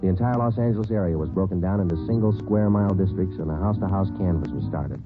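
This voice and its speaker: A deadpan police detective, played by a man narrates in a very deep voice and a quick, clipped delivery. The sound is an old, tinny radio broadcast, and music sits clearly under it. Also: lightly acted. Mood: terse. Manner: clipped, deadpan and matter-of-fact. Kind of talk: 1950s radio drama narration.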